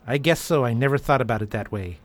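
A woman's voice: rumbling low, fast talker. Woman playing a wry, guarded veteran detective. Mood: wry, awkward. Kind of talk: audio drama acting.

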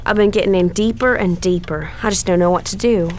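A sweet, fluttery Southern wife in a 1920s radio crime play, played by a woman. She speaks at a middling pitch in a folksy drawl with a nervous, hesitant stammer. There is faint noise behind her. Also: fairly quick, darkish tone, close to an everyday voice. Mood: wistful, sweet. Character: frightened, sugary Southern married woman in debt to gambling. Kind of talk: old-time radio drama acting.

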